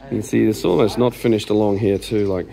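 Male tour host, low voice, informal and off-the-cuff, talking viewers through a site. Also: fairly even intonation, brisk, vocal fry, dark tone, rough recording. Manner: informal, unscripted asides. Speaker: host of an exploration video, tour leader. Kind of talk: casual walkthrough commentary.

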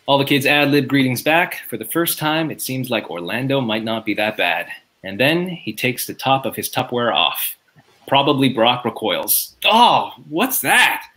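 A man's voice: medium pitch, sing-song, normal speed, sharp tone. A man reading out stage directions as scripted narration in a sitcom table read, recorded at home on a video call.

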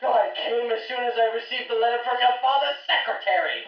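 A grasping, pompous young fiancé in an old-time radio melodrama. His high voice swings wildly as he declaims and stammers. It sounds dull and echoey, some way from the mic. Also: brisk, recorded from a distance. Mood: scornful.

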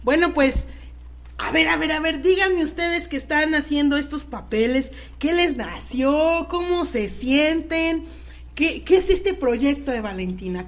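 A Mexican woman hosting a live talk show, chatty and informal as she presents and interviews guests. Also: high voice. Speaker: radio show host.

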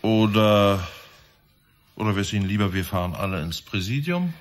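An actor playing a blunt, insistent police detective in a German radio drama, pressing and sardonic. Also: male voice, on the low side, expressive, normal speed, slight background noise, natural voice. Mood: stern, sardonic.

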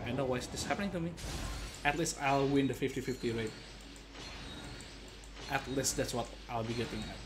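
A young adult man giving casual, playful gaming commentary to his viewers like an excitable showman. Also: a little high. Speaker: game streamer. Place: indoor gaming setup at home. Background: game music.

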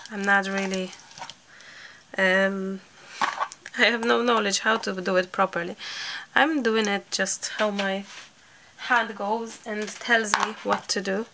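A woman, slightly hoarse, chatting casually while she works through a crafting tutorial. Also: mid-range voice, fairly even intonation, slow pace, pauses often, background noise. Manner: chatty, thinking aloud, light laughs. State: slightly hoarse from a sore throat. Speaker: craft channel host.

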